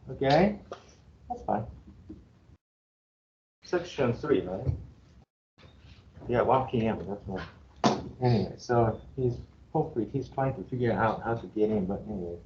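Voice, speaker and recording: A man with a Japanese accent talks to his students in a loose, chatty way, joking and pausing on fillers as he goes. The classroom rings with echo, the sound is a little dull, and a faint hiss sits under it. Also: medium pitch, a little mellow. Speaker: university professor. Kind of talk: casual first-day class talk.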